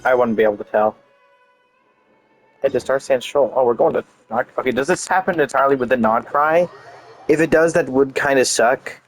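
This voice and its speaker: Guy rambling thru a game, thinking out loud, mid pitch, bit of music behind. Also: a little fast. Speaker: gaming video host. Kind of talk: casual playthrough commentary.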